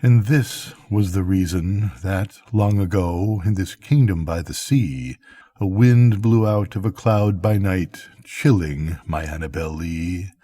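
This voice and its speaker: An older man reads poetry at an unhurried pace in a low-pitched, husky voice. His delivery is solemn and dramatic, like a grandfather at bedtime, with measured pauses between phrases. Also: warm tone, no echo, clean studio sound. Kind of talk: slow dramatic poetry reading.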